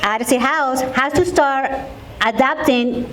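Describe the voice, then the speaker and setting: A woman's voice: high-pitched, a little slow, a little hiss or hum, poor audio. A woman from Honduras, a program manager giving a conference presentation that is partly read. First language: Spanish. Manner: hesitant, many fillers at first.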